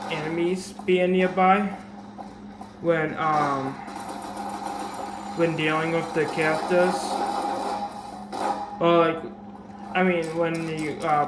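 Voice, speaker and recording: A man with a slightly raised pitch and a dry, sore throat that roughens his voice a little talks through a game tutorial. He wanders and restarts, filling gaps with ums and alrights. The recording is echoey, with steady background noise from the camera mic. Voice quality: slightly raspy. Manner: rambling, many fillers and restarts. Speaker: gaming video creator. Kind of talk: casual game tutorial commentary.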